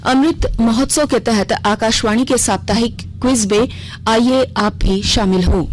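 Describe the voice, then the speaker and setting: Woman reading radio news, slightly high voice. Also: measured pace, poor audio. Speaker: news reader. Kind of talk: scripted radio news bulletin.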